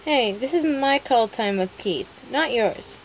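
A woman with a high voice reads dramatic comic dialogue as an amateur dub. She takes her lines slowly. The home recording carries some faint noise. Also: expressive, poor audio.

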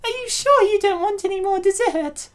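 A woman's voice: very high voice, moderate pace. A woman voicing an anxious, eager young woman in a comic dub, bubbly and easily flustered. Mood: eager, coy.

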